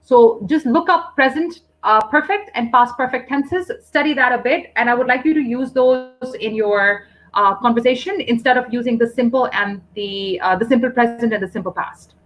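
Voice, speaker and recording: A woman who grew up in the UAE, hosting an online English conversation group and gently giving grammar advice over a video call. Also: fairly high pitch, normal speed, rough recording. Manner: polite, encouraging teacherly corrections.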